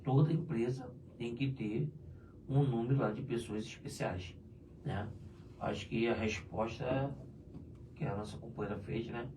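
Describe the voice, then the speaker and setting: A hoarse man chatting loosely on a live union show, tagging his sentences with "né?". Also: mid-range voice, a little slow. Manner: loose and chatty, many "né?" tags. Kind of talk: casual live chat answering viewers. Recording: distant mic with faint room noise.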